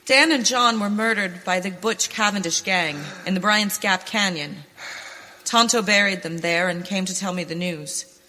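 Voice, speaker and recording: A woman acts out a resolute young ranch woman bound for justice in a western radio drama. Her voice is bright and forceful, theatrical and earnest. It rings through a long hall reverb. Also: mid-range voice, moderate pace, lightly acted. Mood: friendly, urgent. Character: determined young rancher woman becoming a masked western avenger. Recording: reverberant hall, stage performance.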